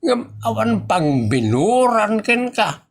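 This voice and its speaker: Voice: mid-range voice, big pitch swings, slow pace, heavily acted. A performer with an Ilocano accent playing a nagging, moralizing villager in a comedy audio drama, speaking in an admonishing way.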